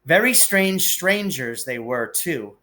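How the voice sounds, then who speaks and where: A man reading a storybook aloud in an expressive, measured and deliberate narration. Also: fairly high pitch. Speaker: storybook reader. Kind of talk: expressive audiobook-style narration.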